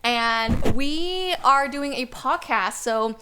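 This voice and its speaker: A woman's voice: high voice, expressive, takes it slowly, background noise. A young woman hosting a casual podcast with her string quartet, upbeat and chatty, leaning on filler words as she talks. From Canada. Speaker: podcast host, first violinist.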